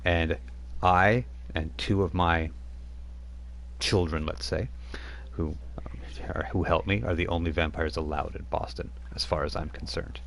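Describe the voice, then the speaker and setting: A scornful vampire woman who has outlived centuries, as a man puts her on at the game table. Her voice sits very deep and dark, and she speaks with weary contempt for lesser creatures. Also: fairly even intonation, a little slow, gravelly, a little hiss or hum, exaggerated voice. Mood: proud. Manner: contemptuous, brooding.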